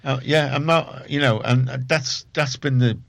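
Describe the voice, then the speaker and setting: A man's voice: medium pitch, a little slow, gravelly, no echo. A man talking casually in an interview about his work, opinionated and emphatic, often repeating himself to make a point. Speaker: community radio organiser, interview guest. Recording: muffled, dull, dry close recording.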